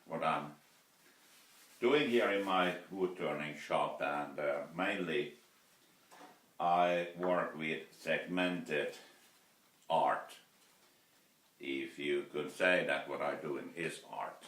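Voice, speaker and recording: A man in his fifties with a Finnish accent, speaking English as a second language, talks casually in his wood shop, halting often and restarting his sentences. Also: very deep voice, fairly bright tone. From Finland. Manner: slow, halting, many fillers and restarts. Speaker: woodturner vlogger. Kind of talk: casual workshop vlog. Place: cluttered indoor wood shop. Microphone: camera mic from several feet away. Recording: roomy workshop sound from a distance.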